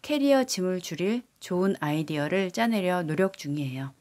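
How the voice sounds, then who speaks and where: A woman's voice: lowish voice, very fast. A woman's AI-generated voice reading sentence drills for a language lesson, evenly and carefully. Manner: even, careful read-aloud of repeated sentences. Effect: AI text-to-speech voice.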